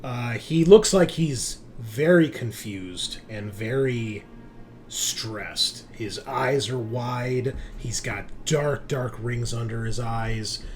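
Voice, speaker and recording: A man narrating a tabletop roleplaying game as game master, describing scenes in a halting, improvised way. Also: mid-range voice. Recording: faint background noise.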